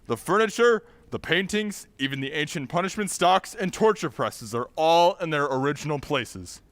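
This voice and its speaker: A man's voice: a little high, lively intonation, normal speed, fairly bright tone. A man playing an officious town meeting leader, speaking formally and emphatically like an orator in a radio drama. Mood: formal, solemn. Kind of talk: old-time radio drama acting.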